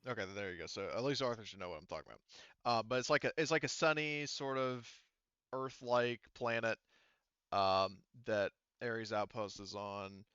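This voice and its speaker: A man with a slightly low, smooth voice acts as game master. He narrates casually and haltingly, rambling and hedging as he improvises. The sound is close and dry, a little dulled. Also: fairly even intonation, a bit bright, dry recording, lo-fi sound.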